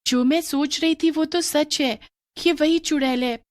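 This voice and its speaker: A woman voicing a curious, scared young schoolgirl, talking high and excitedly. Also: unhurried, no room sound, studio quality. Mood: frightened.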